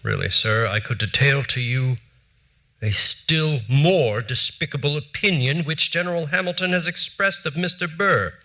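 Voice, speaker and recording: A middle-aged man playing a cold, formal early American politician, reading period letters with crisp diction on a theater stage. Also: fairly high pitch, moderate pace, pushed and bright.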